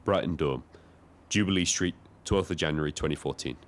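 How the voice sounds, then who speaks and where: An actor playing a lonely, reflective taxi driver in an audio drama, telling his story in a measured, literary way. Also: rumbling low. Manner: wordy, literary, measured first-person storytelling. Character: Frank, lonely reflective male Brighton taxi driver and diarist. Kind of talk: scripted dramatic diary narration.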